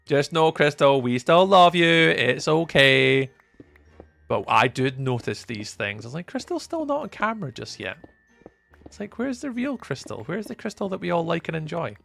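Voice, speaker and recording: A young Scottish man from the east coast, live-streaming and chatting to viewers in a teasing, up-and-down way, from loud bursts to low mutters. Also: big pitch swings, normal speed, gravelly, soft background music. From east coast of Scotland. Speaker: livestreamer.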